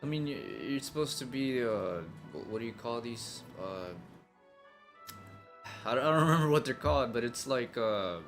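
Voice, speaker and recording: Halting game streamer, music behind. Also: medium pitch, expressive. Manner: halting, hesitant. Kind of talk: casual live-stream commentary.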